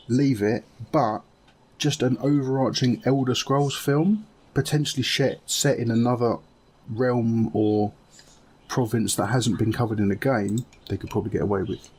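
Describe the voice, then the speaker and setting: A man's voice: mid-range voice, a little mellow, husky, rough recording. A man chatting casually in a group as co-host of a gaming podcast. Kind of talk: casual group chat about games and films.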